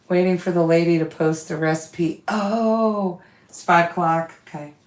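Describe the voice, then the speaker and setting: A woman's voice: lowish voice, steady tone, takes it slowly, echoey room. A woman who has lost her voice, hosting a quilting livestream, chatting casually with viewers and reading their comments while she sews.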